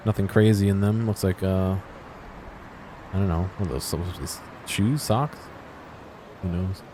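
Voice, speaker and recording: Male gaming commentator, very low pitch, low-key and musing, unscripted chat over faint background noise. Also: takes it slowly, pauses often. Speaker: gaming video host. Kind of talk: casual off-the-cuff game exploration commentary.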